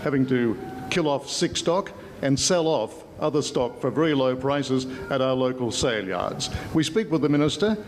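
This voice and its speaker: A man's voice: mid-range voice, animated, moderate pace. A man reporting the news from a script. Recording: reverberant hall, faint background noise.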